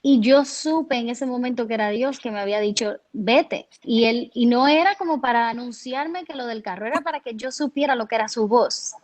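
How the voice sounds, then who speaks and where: A woman from Cuba with a Cuban accent, telling her personal faith story in an earnest, halting way, often restarting her words. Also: fairly high pitch, a little mellow. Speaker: guest sharing her testimony.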